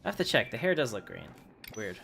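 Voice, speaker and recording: A man's voice: lowish voice, very animated, quick pace, vocal fry, a bit bright, soft background music. A man livestreaming a game, chatting casually and offhandedly while he plays.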